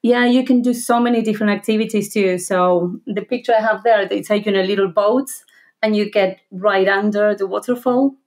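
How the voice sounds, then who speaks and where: A woman in her thirties with an Argentine accent chats as a podcast guest over a video call. She tells her stories freely and laughs often, in a voice of middling pitch. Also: steady tone, a little fast, darkish tone. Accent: Argentine Spanish. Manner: chatty storyteller, laughs often. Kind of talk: casual conversation.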